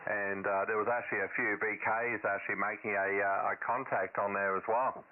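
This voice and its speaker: A man's voice: on the low side, steady tone, fairly quick. A man with an Australian accent chatting casually as an amateur radio operator over a radio link, rambling and hesitant as he goes. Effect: shortwave radio transmission. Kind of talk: casual ham radio net chat. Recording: thin narrowband radio audio, static.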